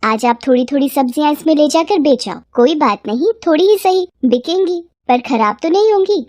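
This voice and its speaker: A woman voicing a caring, practical wife of a poor vendor in an animated moral story, gentle and reassuring. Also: high-pitched, fairly quick, no echo, clean studio sound.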